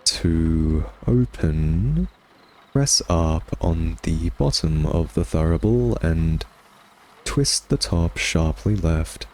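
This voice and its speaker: A man's voice: rumbling low, expressive, very slow, a little mellow, full character voice. A man playing a smug male vampire in an ASMR roleplay, taunting a captured hunter with a sardonic, teasing, conversational drawl. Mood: dry reading aloud.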